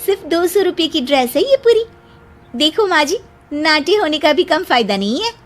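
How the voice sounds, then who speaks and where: A woman's voice: sits high, very animated, normal speed, pitched above the performer's own voice. A woman voicing a short, spirited, self-assured young daughter-in-law in a moral-story cartoon, speaking in an emphatic, outspoken manner. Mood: pleased, cheeky.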